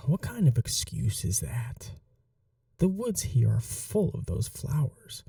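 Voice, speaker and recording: A performer voicing a possessive vampire noble in an ASMR roleplay, speaking softly and slowly with a teasing, condescending cruelty. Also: medium pitch, big pitch swings, dry recording, clean studio sound, far from the mic, exaggerated voice. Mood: tender, possessive. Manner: slow, soft, breathy, condescending teasing.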